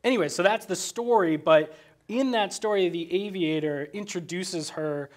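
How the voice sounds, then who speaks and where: A man's voice: high voice, normal speed. A man chatting casually about a film as a guest, explaining things in a loose, rambling way. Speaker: podcast guest, graphic designer.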